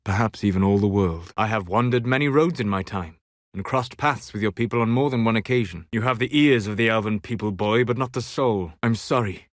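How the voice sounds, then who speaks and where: A man voicing a calm, scholarly elven mage in a video game, proud and melancholy, speaking in measured, formal, deliberate phrases. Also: on the low side, no echo, clean studio sound, close to an everyday voice. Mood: grave, reflective. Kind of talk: video game character dialogue.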